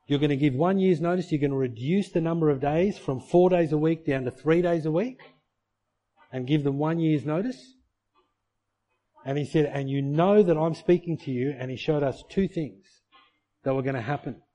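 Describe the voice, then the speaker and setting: A man's voice: fairly high pitch, normal speed, dark tone. A middle-aged male pastor preaching a sermon to his church, earnest and full of rhetorical questions.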